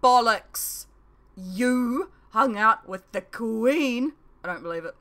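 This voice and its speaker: A woman's voice: a little high, animated, slow pace, fairly bright tone, voice pushed up, full character voice. A woman voicing a brash, incredulous teenage boy with a blunt, emphatic way of talking. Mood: incredulous, nosy.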